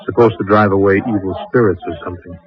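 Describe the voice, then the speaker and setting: A man's voice: low-pitched, steady tone, fast talker, close to an everyday voice. A man acting as a cool-headed, curious expedition member in a radio adventure drama. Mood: curious, assured. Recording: dull, lo-fi radio recording.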